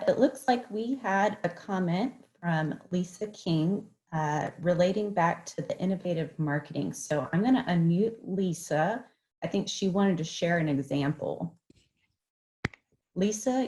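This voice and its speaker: A woman's voice: fairly low pitch, measured pace, warm tone. A woman moderating an online session in a semi-formal, spoken-off-the-cuff style. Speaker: webinar moderator.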